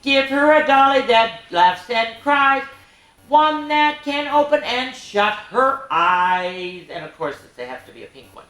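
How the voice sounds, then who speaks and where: A woman hosting story time for children, reading and singing picture books with chatty, animated asides to her young listeners. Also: a little high, measured pace. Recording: echoey room.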